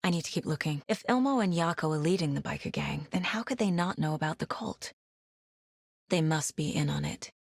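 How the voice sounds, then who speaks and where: A woman's voice: deep voice, animated, brisk, gravelly, slightly rough, no echo, exaggerated voice. Serious female agent, dry close recording. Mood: focused, brooding. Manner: serious, matter-of-fact, analytical. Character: determined, focused female FBI agent and worried mother. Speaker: video game protagonist. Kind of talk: naturalistic game voice acting, inner monologue and dialogue.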